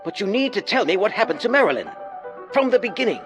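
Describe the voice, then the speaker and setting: An actor playing an urgent, concerned neighbor taking charge in a true-crime podcast dramatization. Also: male voice, high voice, sing-song, fast, music in the background.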